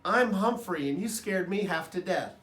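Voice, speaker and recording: Give the storybook's little hamster , acted out by a man, a squeaky, raised voice. He is eager and excitable, pleading with emphasis, heard in an echoey room. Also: moderate pace. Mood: startled. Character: small male classroom hamster, eager and excitable. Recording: roomy echo, distant microphone.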